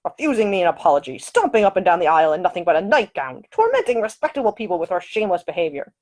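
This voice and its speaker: A woman voicing an irritable, pompous older gentleman in an audiobook story, snarling his complaints. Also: on the low side, very animated, very fast. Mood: irritated.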